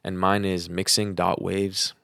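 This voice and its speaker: A man's voice: deep voice, level tone, unhurried, vocal fry, bright, forceful tone, smooth, no room sound, clean studio sound. A man co-hosting a podcast in casual chat, blunt and joking, speaking into a podcast microphone. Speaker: podcast co-host.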